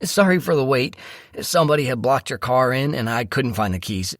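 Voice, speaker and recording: A man's voice: medium pitch, lively intonation, fairly quick, no room sound, studio quality, voice pushed up, natural voice. A man voicing an apologetic, eager young male valet in an audiobook. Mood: apologetic, rushed. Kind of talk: audiobook character dialogue.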